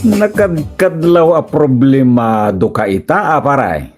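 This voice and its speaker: A man's voice: medium pitch, expressive, measured pace, over loud music, natural voice. An actor playing a nosy male buddy with a drawling, questioning delivery and an Ilocano accent, in a radio comedy drama. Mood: curious, teasing. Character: nosy male buddy reacting to his friend's troubles.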